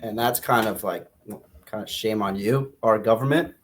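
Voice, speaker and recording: Guy on a book podcast, casual chat, kinda halting, rewords stuff as he goes. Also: on the low side, slight background noise. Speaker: podcast co-host discussing a book.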